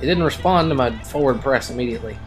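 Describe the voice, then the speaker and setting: A man's voice: medium pitch, fast, music in the background. A man giving casual let's play commentary as a gaming video host, rambling and wry.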